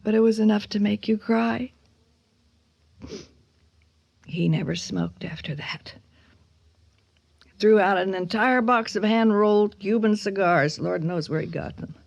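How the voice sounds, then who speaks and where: An actress playing a bitter, haunted middle-aged mother in a radio drama, speaking haltingly and with raw emotion. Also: mid-range voice, gravelly, no echo, dull audio. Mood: wistful, brooding. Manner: halting, breaking into whispers and cries. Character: bitter, haunted mother of a son she fears. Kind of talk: dramatic radio monologue acting.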